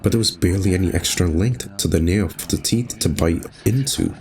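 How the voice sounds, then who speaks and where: Male game narrator and host, low-pitched delivery, faint noisy ambience underneath. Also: fairly quick, a little mellow. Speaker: gaming video host and narrator. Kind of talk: reading visual novel narration aloud, with casual commentary.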